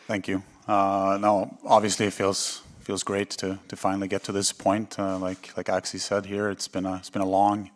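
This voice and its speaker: A Swedish-born man in his forties, a newly hired head coach, answering press conference questions in a measured way and often repeating words as he thinks. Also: sits low, monotone. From Sweden.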